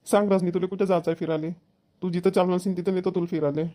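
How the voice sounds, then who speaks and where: A man's voice: high-pitched, fairly even intonation, very fast, mellow, close to an everyday voice. A man playing an easygoing, playful village husband in a cartoon, with a rural Maharashtrian accent. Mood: playful, coaxing. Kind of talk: cartoon family dialogue.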